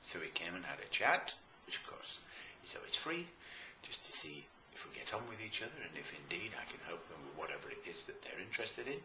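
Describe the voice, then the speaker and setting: A British man speaking as a coach promoting his services in an informal, enthusiastic and emphatic talk with deliberate pauses, recorded in a roomy space. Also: low-pitched, very animated, pushed and bright. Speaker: life and business coach promoting own services. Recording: roomy echo, faint background noise.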